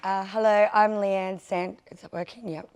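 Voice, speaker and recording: A woman with a husky voice, nervous as she gives a welcome and acknowledgements at a conference, with many fillers. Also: mid-range voice, level tone, moderate pace, darkish tone, a faint crowd. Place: conference session room.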